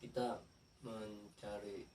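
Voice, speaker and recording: A man speaking as a podcast guest in a casual chat, earnest and hesitant, pausing to search for his words. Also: on the low side, level tone, dark tone. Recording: echoey room, distant mic, faint noise.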